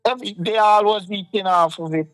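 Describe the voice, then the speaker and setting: A man's voice: high-pitched, brisk, a bit bright, no echo. Fired-up Guyanese man, dry close sound. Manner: passionate, rhetorical questions. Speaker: talk show host. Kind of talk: casual political chat.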